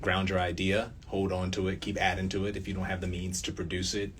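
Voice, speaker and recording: A man's voice: deep voice, completely flat delivery, fast. A man speaking casually and informally as an interview guest, often repeating his phrases. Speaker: radio play producer, interview guest. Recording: faint background noise.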